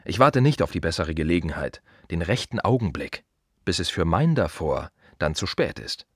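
An actor playing a blunt, brooding gravedigger in a radio drama monologue, speaking colloquially in short, repeated, emphatic phrases. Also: male voice, sits low, sing-song, moderate pace, husky, no room sound, studio quality, heavily acted. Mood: defiant, life-affirming. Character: blunt, brooding male gravedigger musing on life and death.

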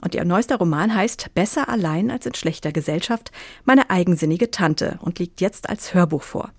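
A woman hosts a radio programme in a slightly low voice. Her presenting is scripted, and the sound around her voice is dry. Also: expressive, moderate pace, a little mellow, no echo. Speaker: radio host.

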